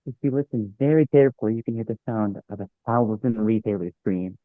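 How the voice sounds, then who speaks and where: A man's voice: lowish voice, a little fast, no echo, close to an everyday voice. A man reading the part of a wisecracking young friend in a sitcom script, with sardonic delivery. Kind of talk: sitcom script table read. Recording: muffled, tinny narrow-band line.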